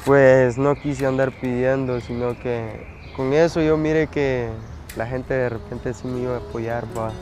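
A man's voice: mid-range voice, steady tone, unhurried, hardly any pauses. A man, a migrant who speaks plainly and hesitantly in a documentary interview. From Honduras. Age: 20s. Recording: music underneath.

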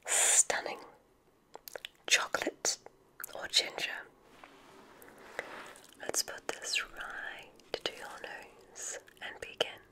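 A woman playing an encouraging witch examiner at a magic school in an ASMR roleplay, speaking in a soothing, breathy whisper with deliberate pauses. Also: low-quality recording. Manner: slow, soothing, gently enthusiastic, deliberate pauses.

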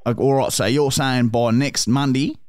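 Man doing a foul-mouthed Australian bogan, pushy and pleading, dry mid-pitched voice. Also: steady tone, moderate pace, a little mellow, dry recording, lightly acted. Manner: swears constantly, calls everyone mate and brother. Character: crude pushy bogan bloke, loud and pleading. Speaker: prank caller. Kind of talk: prank phone call.

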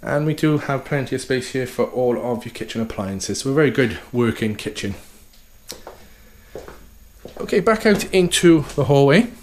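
A man's voice: medium pitch, animated, moderate pace, darkish tone, a little hiss or hum. A man, an estate agent, giving an upbeat walkthrough tour of a house, full of sales patter and repeated "okay" and "fantastic".

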